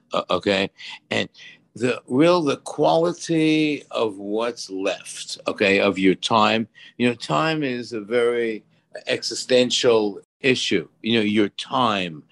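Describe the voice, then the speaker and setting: A man's voice: mid-range voice, creaky. A man in his seventies giving casual advice on a talk show in a rambling, folksy way. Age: 70s. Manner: rambling, folksy, repeats "you know". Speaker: expert co-host.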